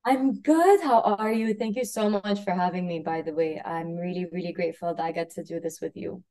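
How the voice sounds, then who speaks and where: A woman's voice: lowish voice, brisk. Reflective woman guest with many fillers. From Kuwait. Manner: reflective, many "like" and "you know" fillers, trailing off quietly. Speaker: guest, spiritual coach. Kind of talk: casual interview chat.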